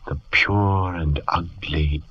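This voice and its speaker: An actor playing a brooding, absent-minded Victorian gentleman scientist in a theatrical old radio drama style. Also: sits low, steady tone, takes it slowly, breathy edge, muffled recording, heavily acted. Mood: brooding, solemn.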